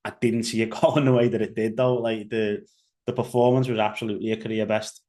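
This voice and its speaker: A man's voice: on the low side, level tone, brisk, dark tone. A young man with a Liverpool accent giving casual solo commentary as a podcast host, rambling with fillers and restarts. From England.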